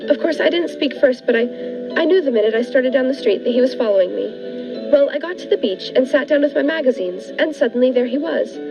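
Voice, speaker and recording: Woman playing a high-voiced, naive spinster diarist. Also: lively intonation, brisk, creaky. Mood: thrilled. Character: naive, excitable, shy forty-year-old spinster diarist. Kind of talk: 1940s radio drama acting. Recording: dull lo-fi with music behind.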